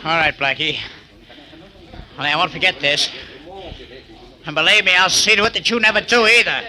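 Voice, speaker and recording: An actor playing a belligerent, sneering rich bully, threatening and taunting in 1940s radio drama style. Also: male voice, fairly high pitch, steady tone, moderate pace, crowd noise, lightly acted, low-quality recording. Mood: vengeful. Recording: 1940s radio, music behind.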